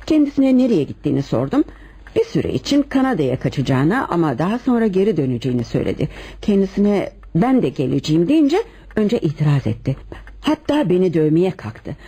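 A woman acting a composed, lovelorn wife confessing to murder in a Turkish radio play, with measured dramatic delivery. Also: fairly low pitch, very animated, warm tone, breathy edge, full character voice. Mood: tense recounting.

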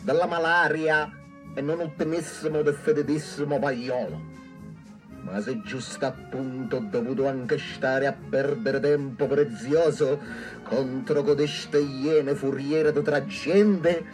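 An actor playing a pompous, bombastic small-town official, orating grandly in a satirical radio drama with mangled flowery rhetoric. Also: male voice, mid-range voice, steady tone, slow, music in the background, natural voice. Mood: pompous, self-satisfied.

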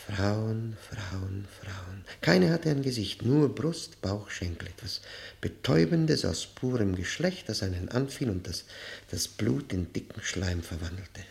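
An actor playing an embittered, tormented lifer in a 1960s German radio play, speaking in brooding, dramatic monologue with halting pauses. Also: male voice, on the low side, animated, gravelly. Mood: tormented, disgusted. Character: embittered, tormented male prisoner serving life.

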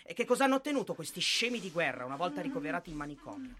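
An actor playing an anguished, bitter soldier son in an impassioned, insistent and sardonic theatrical monologue. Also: male voice, a little high, very animated, very fast, crowd noise.

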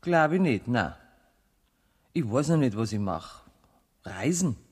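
An actress playing a proud, self-assured woman swindler in a radio play, with a husky voice and Bavarian accent. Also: extremely low pitch, sing-song, slow pace, a little mellow, heavily acted. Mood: defiant, confident. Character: proud, defiant woman swindler banker in prison. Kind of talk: German radio play acting. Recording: reverberant 1970s radio drama studio.

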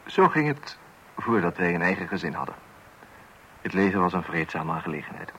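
A man's voice: sits low, sing-song, fairly quick, fairly bright tone, a little hiss or hum, poor audio. An actor playing a pompous, orderly married man with strict old-fashioned views in a radio play, tutting and repeating himself. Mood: wistful. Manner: pompous, opinionated, with tutting repetitions.

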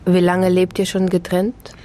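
A woman acting as a sceptical investigator's colleague, her voice on the low side. She is direct and inquisitive, reasoning aloud in natural radio-drama manner. Soft music runs faintly underneath. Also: monotone, unhurried, close to an everyday voice. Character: sceptical, inquisitive female colleague working through a case.

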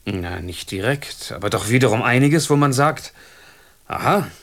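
An actor playing a bewildered, wry, wealthy Englishman in a German radio drama, musing aloud in an animated manner. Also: medium pitch, normal speed. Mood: defensive.

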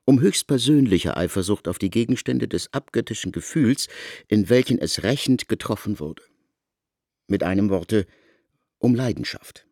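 A man narrating a literary radio reading in an expressive, measured way. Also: fairly low pitch, no echo, clean studio sound.

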